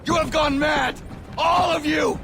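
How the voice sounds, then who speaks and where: An actor playing a furious, defiant captive in a video game, shouting in strained outrage. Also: male voice, very high-pitched, steady tone, measured pace, vocal fry, slight background noise, full character voice. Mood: outraged. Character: furious, defiant man raging at his captor. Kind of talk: dramatic video game acting.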